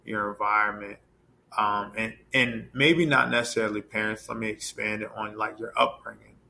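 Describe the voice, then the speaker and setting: A man's voice: fairly low pitch, fairly even intonation, moderate pace, creaky, bright, forceful tone, a little hiss or hum. A man speaking as a podcast guest, thoughtful and hedging in casual conversation.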